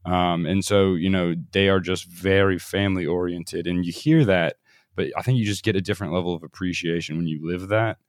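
A man's voice: sits low, steady tone, fairly quick, dry recording, studio quality. A man who is a guest on a podcast, talkative and telling stories in casual conversation with frequent laughs.

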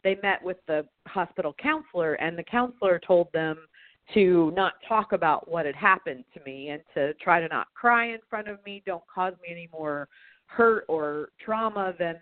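A woman's voice: fairly low pitch, animated, moderate pace, dry recording. A woman interview guest recounting her own experience in the first person, often repeating phrases as she searches for words. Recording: tinny narrow phone-like sound.

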